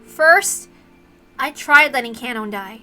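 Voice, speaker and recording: A woman's voice: high-pitched, expressive, slow pace, pushed and bright, soft background music, heavily acted. A woman voicing a blunt, passionate young heiress, defiant and emphatic, speaking in slangy lines as in a visual novel. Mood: anguished resolve.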